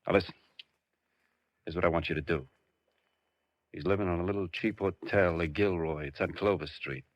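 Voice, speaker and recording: Tough crook, very low voice, terse, conspiratorial, old radio crime drama, dry muffled sound. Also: male voice, normal speed, no room sound. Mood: sly, instructing. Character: tough, scheming male crook, curt and menacing.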